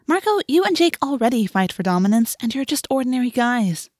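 Audiobook teenage girl a woman performing, bold and mocking, raised pitch, pointed teasing. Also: very animated, brisk, darkish tone, dry recording, clean studio sound. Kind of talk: audiobook character voice.

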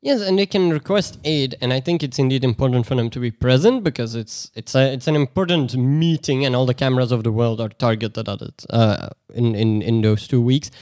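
A man's voice: medium pitch, fairly quick, few pauses, darkish tone, no room sound, clean studio sound. A man in a casual discussion who hesitates with many "uh"s and speaks bluntly.

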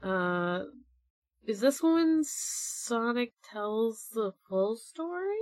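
A woman's voice: on the high side, very animated, extremely slow, darkish tone, no room sound. A woman co-hosting a casual, joking discussion in an informal, amused and giggly way. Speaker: podcast co-host. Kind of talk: casual chat, joking commentary.

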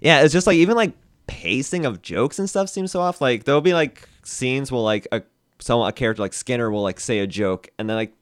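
A man's voice: medium pitch, brisk, clean tone, dry recording, clean studio sound. A young man from Canada, a comedian guest on a podcast, rambling casually with many "like" fillers.

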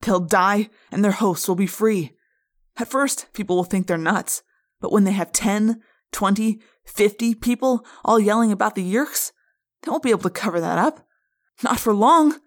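A woman voicing a fierce teenage boy leader who speaks fast and intensely, his voice climbing higher. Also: fairly even intonation, close to an everyday voice. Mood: excited.

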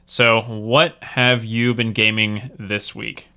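A man hosting a casual podcast, talking in a relaxed, rambling way with many fillers. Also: on the low side, slow. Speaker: podcast host.

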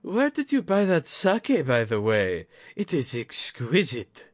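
Man putting on a tipsy teasing lord, pitched up. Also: wildly swooping pitch, dry recording, clean studio sound, full character voice. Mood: tipsy curious. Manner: exclaiming, snorting, drawn-out. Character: drunken nobleman lord, jovial and teasing host. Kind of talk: audiobook character voice.

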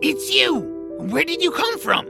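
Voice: very high-pitched, big pitch swings, unhurried, creaky, music in the background, exaggerated voice. A performer voicing a scrappy little troll, an anxious and reluctant hero who grumbles, in an audio drama. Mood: indignant.

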